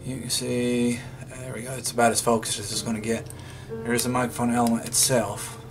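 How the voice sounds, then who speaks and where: A man's voice: mid-range voice, flat delivery, fairly quick. A man giving casual, unscripted narration of hands-on repair work in a folksy way, thinking aloud. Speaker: vlogger demonstrating radio repair. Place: indoors at a wooden workbench.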